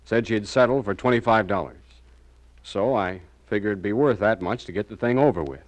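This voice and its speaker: A man's voice: fairly low pitch, fairly quick, close to an everyday voice. An American man playing an anxious, naive murder suspect in a 1940s radio crime drama, hesitant and earnest. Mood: nervous.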